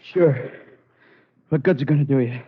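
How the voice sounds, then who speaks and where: On a muffled old radio recording, a cocky male convict played by an actor talks back defiantly. His voice is mid-pitched, in fifties crime drama style. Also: normal speed, slight background noise, natural voice. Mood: defiant, bitter. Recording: dull vintage 1950s radio recording.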